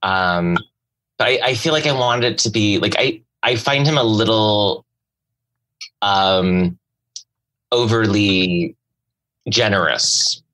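A man co-hosting a literary podcast from a video call, talking in a halting way with many restarts. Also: on the low side, pushed and bright, low-quality recording. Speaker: podcast co-host. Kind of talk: casual literary discussion. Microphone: remote video call.

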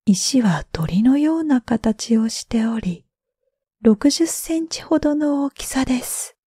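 A woman narrating bedtime stories for sleep in a gentle, drawn-out way with breathy phrase endings. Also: sits high, animated, no room sound, studio quality. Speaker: storyteller, freelance announcer.

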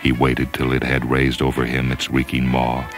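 A man narrating a story in a dramatic, measured, grave manner. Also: a bass voice, monotone. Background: music.

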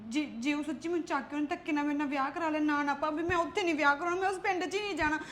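A woman's voice: sits high, very fast, fairly bright tone, a little hiss or hum. A woman playing a frightened young teacher. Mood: tearful pleading. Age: young adult. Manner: pleading, tearful, protesting.

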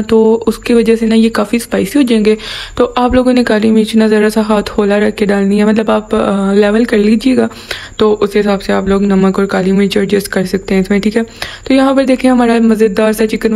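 A woman's voice: mid-range voice, creaky, warm tone. A young woman, chatting casually through a home cooking voice-over. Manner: chatty, lots of filler "na", giggles. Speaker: cooking vlogger.

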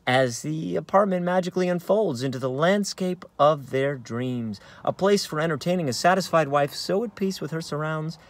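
A man's voice: fairly high pitch, a bit bright, no echo. A man in his thirties, an actor playing a frazzled, wry stay-at-home dad in a dramatic monologue recorded inside a car. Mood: eager, scheming. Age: 30s. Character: frazzled, self-deluding young stay-at-home dad, cursing and scheming. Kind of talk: dramatic staged reading, third-person monologue. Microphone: phone or camera at arm's length.

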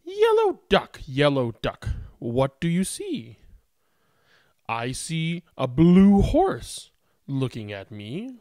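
A man in his thirties, host of a children's read-aloud, speaking in an expressive, sing-song way. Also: fairly high pitch, slow, dry recording, clean studio sound. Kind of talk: picture-book read-aloud with casual introduction. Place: indoors, small room at home.

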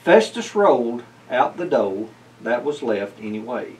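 A man in his thirties reading a children's storybook aloud in a measured, expressive way. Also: medium pitch. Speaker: story reader. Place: indoors, library corner with bookshelves. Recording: picked up from a distance.

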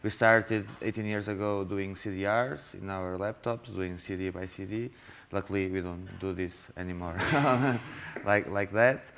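A man in his thirties leading a masterclass in a classroom, talking haltingly with frequent restarts. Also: deep voice, fairly bright tone, a little hiss or hum. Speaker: workshop leader. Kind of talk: masterclass talk. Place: classroom with projector.

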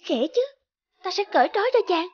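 High-voiced young peasant girl. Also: sing-song, a little fast, a little mellow, lo-fi sound. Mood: cautioning. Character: kind, brave peasant girl of a fairy tale. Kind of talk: cartoon fairy-tale acting.

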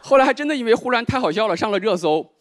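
A man from Northeast China performing a stand-up set, delivering quick, animated deadpan punchlines on a stage mic. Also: high-pitched. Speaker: stand-up comedian. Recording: stage mic with audience noise.

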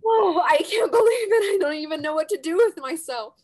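A woman's voice: very high voice, animated, a little fast, darkish tone. A young woman with an American accent playing an anxious, dramatic teenage girl in a play reading, theatrical, sarcastic and rambling. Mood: overjoyed. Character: anxious, dramatic teenage girl, aspiring student and ballet dancer. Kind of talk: virtual staged play reading. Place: bedroom, indoors. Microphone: computer video call.

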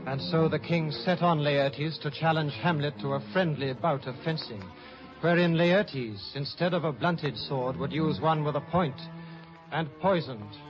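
A man's voice: fairly high pitch, measured pace. Old time radio drama narrator guy, muffled sound, music behind. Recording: dull vintage radio recording.

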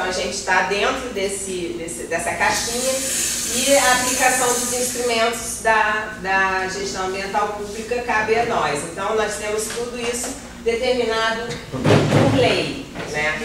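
Distant, echoey Brazilian woman lecturing. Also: on the high side. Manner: hesitant, self-deprecating, repeats words while searching. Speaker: guest lecturer, environmental civil servant. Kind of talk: informal lecture to a class. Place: classroom with projector, indoors. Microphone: far camera. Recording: roomy, noisy classroom recorded from far off.

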